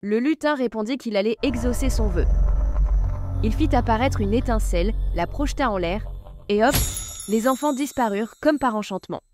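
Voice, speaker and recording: Soft-spoken woman telling a kids story, bit high, music playing under her. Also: expressive, normal speed. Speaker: narrator. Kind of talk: scripted storybook narration. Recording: music bed behind narration.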